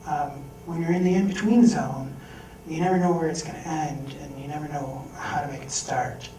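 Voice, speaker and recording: High-voiced man telling a story in a hall. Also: normal speed, soft background music. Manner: candid, animated storytelling, acts out quoted voices. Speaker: speaker at a fundraising event. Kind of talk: personal story talk on stage. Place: event hall with audience. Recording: echoey hall recorded from far off.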